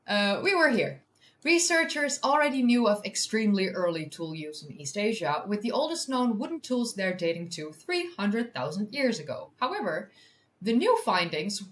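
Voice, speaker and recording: A Dutch-accented woman hosts a science livestream in her second language. Her pitch swings widely as she chats excitably with viewers, swearing casually and making fun of herself. She talks at an unhurried pace. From Netherlands. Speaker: science communicator and livestream host. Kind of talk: casual livestream chat, reading from a study.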